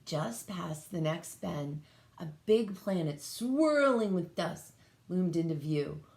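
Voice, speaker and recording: A woman reading a picture book aloud as a teacher, pausing to explain and put questions to young children. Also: lowish voice, animated, vocal fry, darkish tone. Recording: echoey room sound.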